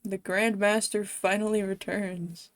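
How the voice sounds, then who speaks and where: A woman's voice: mid-range voice, level tone, measured pace, no echo. A woman game streamer chatting casually to viewers as she plays. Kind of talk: casual livestream chat while playing a game.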